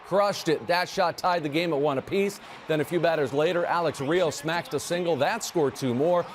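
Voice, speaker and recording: A man delivers sportscast highlights with a brisk, punchy energy. His voice sits a little on the high side. A faint hiss runs under the recording. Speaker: sports anchor. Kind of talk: local TV sportscast.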